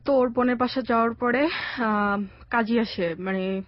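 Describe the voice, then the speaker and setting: High-voiced young Bangladeshi woman, slightly dull sound. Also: measured pace, no room sound. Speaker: guest telling own life story. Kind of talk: conversational personal storytelling. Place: radio studio.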